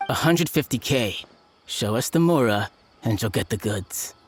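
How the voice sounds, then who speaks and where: A blunt street dealer whose pitch jumps lively up and down. She is curt at first in manner but easily rattled. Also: a little slow, faint music behind. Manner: blunt, then jittery and pleading. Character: nervous street dealer of illicit goods, blunt.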